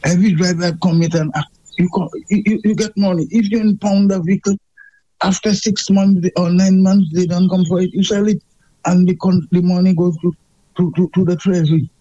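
Emphatic Saint Lucian caller on dull line. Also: male voice, high voice, steady tone, fairly quick, dark tone, no echo. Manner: emphatic, repetitive, complaining. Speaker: radio caller. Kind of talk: call-in radio chat.

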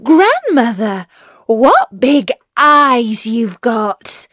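An innocent, trusting little girl from a storybook, played by a woman. The voice is raised and sing-song, with wide swings of pitch and earnest, wide-eyed phrasing. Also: sing-song, drawn-out words, a bit bright, no echo, studio quality, full character voice. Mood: amazed.

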